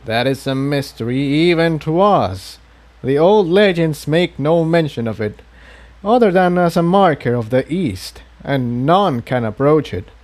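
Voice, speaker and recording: Man voicing a kindly old unicorn priest, measured. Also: fairly high pitch, expressive, darkish tone. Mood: solemn, explanatory. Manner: measured, explanatory.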